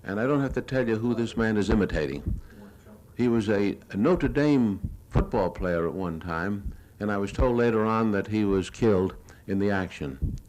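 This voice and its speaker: Older male, American, lowish voice. Reporter's measured, plain-spoken lecture narration of war film, archival 1970s recording. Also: a little mellow, a little hiss or hum, low-quality recording. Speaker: newsreel reporter giving a lecture.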